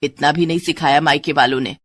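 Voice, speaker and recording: A woman voicing a bossy, taunting middle-aged mother-in-law in a moral-story cartoon, with a sharp, nagging manner. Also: deep voice, fairly even intonation, brisk, slight background noise, pitched below the performer's own voice, lightly acted. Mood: scolding.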